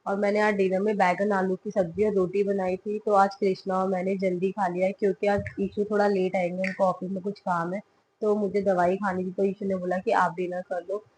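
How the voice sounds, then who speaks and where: A young woman, a mother, chatting to the camera at home in a casual family vlog and coaxing her toddler, her voice hoarse from a cold. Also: mid-range voice, level tone, a little fast, darkish tone, a little hiss or hum, low-quality recording. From Himalayan hill region of India.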